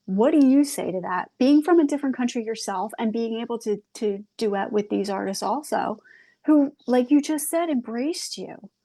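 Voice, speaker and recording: A woman hosting a podcast interview, asking friendly questions and giving quick affirmations. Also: fairly high pitch, animated, warm tone. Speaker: podcast host. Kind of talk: casual interview chat.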